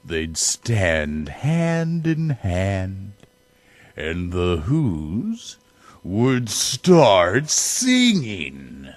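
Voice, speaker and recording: A man reading a children's story theatrically, with dramatic, drawn-out emphasis. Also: deep voice, wildly swooping pitch, no room sound. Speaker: storybook reader.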